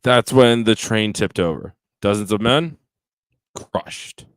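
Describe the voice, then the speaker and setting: A man's voice: lowish voice, slow pace, clean tone, no echo. A man acting a shaken, wounded soldier giving an eyewitness account in a radio play.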